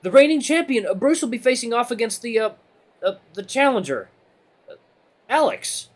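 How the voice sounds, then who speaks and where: A man voicing a timid, nervous little male rabbit making announcements in an audio story, halting and stammering. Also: very high voice, lively intonation, voice pushed up. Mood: hesitant.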